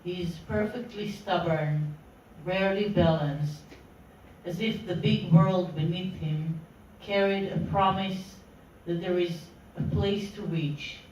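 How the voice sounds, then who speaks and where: A woman reading poetry deliberately, pausing phrase by phrase. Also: fairly low pitch, fairly even intonation, a little mellow, slight background noise. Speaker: poetry reader. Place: indoor literary meeting room. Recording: echoey room from far off.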